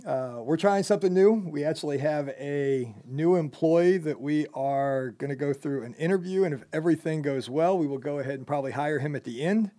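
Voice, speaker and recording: A man's voice: a little high, fairly quick, few pauses, warm tone. Chatty young man interviewing a job applicant. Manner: loose, rambling, chatty with many fillers. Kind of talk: casual on-camera job interview.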